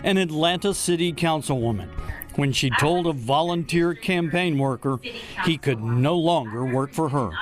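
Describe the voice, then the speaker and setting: An American man narrating a scripted TV news report as an investigative reporter, in a measured, deliberate broadcast delivery. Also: on the high side, over music.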